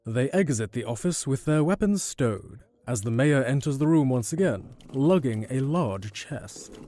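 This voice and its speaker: A man's voice: mid-range voice, animated, a little slow, mellow, dry recording. A man delivering scripted narration for a fantasy audio drama.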